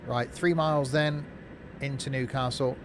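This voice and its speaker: A man with a mid-pitched voice talks off the cuff as a game streamer. He is informal and jokey, drifting between commentary and replies to his chat. A steady bed of noise and vehicle rumble sits clearly behind his microphone. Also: a little slow. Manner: informal, jokey, chatty asides. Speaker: live streamer playing a train simulator and chatting with viewers. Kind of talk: casual live game commentary, replying to chat. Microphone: streaming microphone through a mixing desk.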